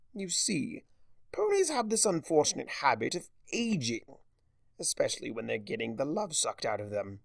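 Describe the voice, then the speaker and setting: A smug male trickster spirit from a dark fantasy story, as performed by a man, relishing every explanation. The delivery swoops widely in pitch with a mocking drawl and gravelly creak, recorded close and dry. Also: dry recording.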